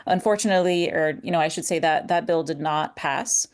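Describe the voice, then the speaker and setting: A woman reporter giving measured, explanatory answers in an interview. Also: sits low, clear, resonant tone. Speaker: newspaper reporter.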